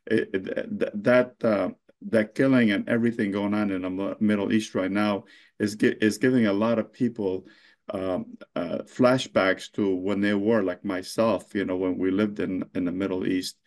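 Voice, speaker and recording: A middle-aged man born in Lebanon, a mayor answering interview questions in a halting way, full of fillers and restarts. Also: on the low side, steady tone, a little mellow.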